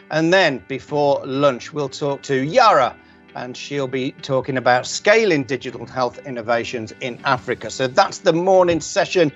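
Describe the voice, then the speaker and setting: Upbeat male event host over music. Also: fairly high pitch. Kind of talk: introducing speakers. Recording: dull lo-fi with faint music.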